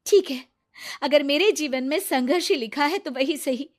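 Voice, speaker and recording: Young mother for a cartoon moral tale, played by a woman: high, plaintive, emotional, quietly determined. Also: moderate pace, natural voice. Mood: hurt, resolute. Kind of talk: Hindi cartoon moral story dialogue.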